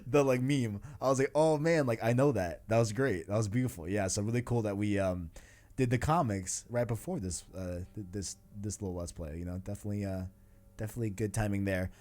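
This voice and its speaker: A man's voice: lowish voice, a little fast, darkish tone. A man hosting a casual video game discussion, talking in a rambling, enthusiastic, slangy way. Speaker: podcast host.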